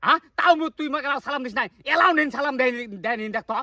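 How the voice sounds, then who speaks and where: Man acting cranky high-pitched fasting elder. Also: pushed and bright, no echo, studio quality, full character voice. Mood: scolding, indignant. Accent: northern Bangladesh (Rangpuri). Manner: hectoring, nagging with repeated questions. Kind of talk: comedy skit acting in rural dialect.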